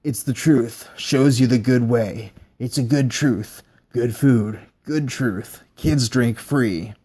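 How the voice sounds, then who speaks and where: Man voicing an eerie calm male test subject, soft, slow, drifting words. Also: mid-range voice, breathy edge. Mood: dreamy. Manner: quiet, slow, rambling and dreamy.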